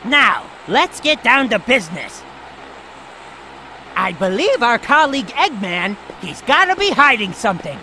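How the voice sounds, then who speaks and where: A man's voice: very high voice, very animated, measured pace, sharp tone, slight background noise, exaggerated voice. A man voicing a cocky young male hawk gang leader who gives orders in a bossy way, for a video game cutscene. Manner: bossy, commanding.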